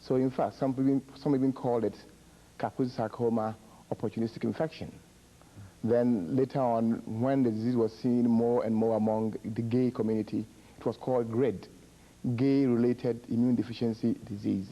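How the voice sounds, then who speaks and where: Ghanaian-born man, halting explanations. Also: medium pitch, steady tone, mellow, lo-fi sound. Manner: measured, halting, with repeated words and fillers. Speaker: public health project director, guest. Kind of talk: explanatory interview answers.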